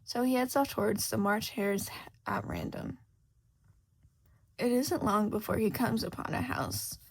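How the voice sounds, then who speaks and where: A woman's voice: medium pitch, expressive, unhurried, gravelly, a little hiss or hum, low-quality recording. A woman reading a scripted fiction story aloud as its narrator. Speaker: podfic narrator.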